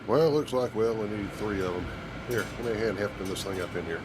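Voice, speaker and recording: Guy voicing capable spaceship captain, deep voice, calm n practical, bit wry, game scene, loud ambient noise. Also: close to an everyday voice. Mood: matter-of-fact. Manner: measured, matter-of-fact, lightly wry. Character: calm, capable, good-hearted male starship captain. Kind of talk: scripted in-character game dialogue. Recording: loud ship and machinery ambience.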